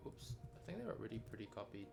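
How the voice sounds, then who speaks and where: A man's voice: lowish voice, sing-song, very fast. A man casually chatting as he follows a tutorial, tentatively thinking aloud while he works. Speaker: learner following along, lead writer. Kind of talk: casual chat while working on screen. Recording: music clearly playing behind the voice. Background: background music.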